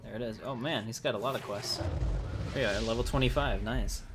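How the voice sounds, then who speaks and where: A man's voice: medium pitch, fairly quick, background noise. A man livestreaming a game, chatting casually and off the cuff with his viewers. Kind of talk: casual livestream gaming chat.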